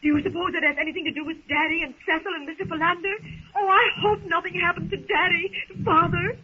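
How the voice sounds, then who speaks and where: A woman's voice: very high voice, fairly even intonation, fast. An actress playing an anxious, refined young woman stranded in the jungle, earnest and theatrical in 1930s radio style. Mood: worried. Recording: muffled, tinny 1930s radio recording.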